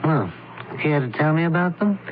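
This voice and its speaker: An actor playing a brisk, shrewd doctor turned investigator in an old-time radio mystery, questioning with clipped authority. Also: male voice, fairly high pitch, expressive, natural voice. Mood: probing. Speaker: investigator character. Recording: narrow, tinny old radio recording, hiss.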